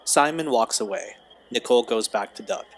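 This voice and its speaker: A man's voice: mid-range voice, normal speed, a little hiss or hum. A man narrating an audio drama from a script, describing the action in a matter-of-fact way.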